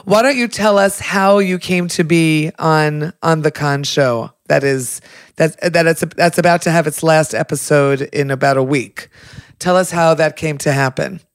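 A woman hosting a weekly news podcast, asking measured, friendly questions. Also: sits low, dry recording, clean studio sound. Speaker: podcast host. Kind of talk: interview.

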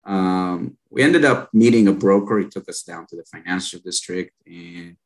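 A man in his late thirties from Israel with an Israeli accent, telling his story as an interview guest in a relaxed way with many fillers. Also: low-pitched, moderate pace. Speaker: company founder and guest. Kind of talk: casual interview chat.

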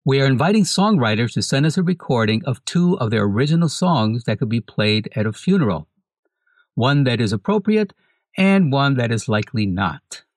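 A man reads a scripted podcast segment in a measured, even way. His voice sits at an ordinary middle pitch. It is recorded close and dry, with no room sound. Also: no echo. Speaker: podcast host.

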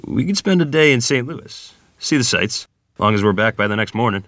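A man acting an earnest, awkward rural Missouri ferryman groom from the 1920s at a screenplay table read, plain-spoken and halting. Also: medium pitch, expressive, a bit bright, no echo, clean studio sound. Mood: sheepish.

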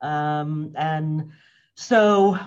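An American woman in her fifties, a guest answering interview questions in an earnest, reflective way, laughing often and pausing on fillers. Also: low voice, a little mellow, clean tone. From United States. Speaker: guest, organizational wellness consultant. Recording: muffled lo-fi audio.

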